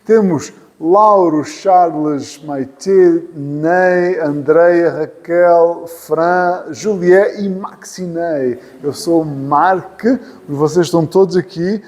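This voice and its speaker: A man's voice: on the high side, extremely slow, a little mellow. A man from Portugal with a European Portuguese accent, teaching an English class patiently, repeating phrases and joking lightly. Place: church hall classroom. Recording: reverberant hall.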